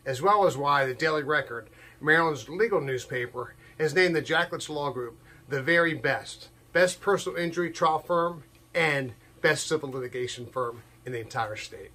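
An older man delivering an emphatic, scripted advertising pitch. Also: moderate pace, pushed and bright. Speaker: ad spokesman. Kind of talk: scripted ad read.

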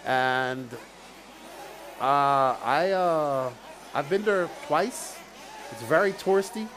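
A man's voice: a little high, expressive, takes it slowly, a bit bright, poor audio. A man from Bermuda with a Bermudian accent, working as a race commentator and chatting casually with live-stream viewers. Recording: clear background noise, outdoor event ambience.

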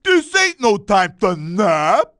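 A man's voice: high-pitched, wildly swooping pitch, slow, a bit bright, exaggerated voice. An actor playing a huge, gluttonous supervillain brute in a video game, talking in taunting, slangy tough-guy combat barks. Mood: gloating, taunting.

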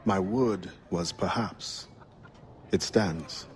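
Low-voiced, dignified Caribbean assassin. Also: male voice, slight background noise. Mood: guarded. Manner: formal, measured, composed. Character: steadfast, dignified former-slave pirate turned assassin. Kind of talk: dramatic video game acting.

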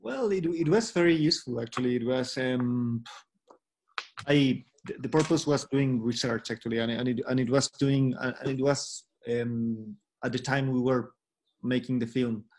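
Hesitant man with mid-pitched voice, English. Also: normal speed, darkish tone, a little hiss or hum. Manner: hesitant, repeats words. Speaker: filmmaker being interviewed. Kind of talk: casual Q&A on a video call. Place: book-lined room at home. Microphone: laptop video call.

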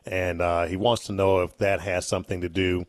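A man hosting a radio talk show, easygoing and halting as he reads a listener's question. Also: sits low, level tone, no echo.

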